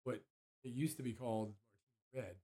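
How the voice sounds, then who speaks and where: A hoarse man, a musician and songwriter, chatting casually as an interview guest in a rambling, self-interrupting way. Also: medium pitch, steady tone, moderate pace, mellow. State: hoarse from allergies, clearing throat.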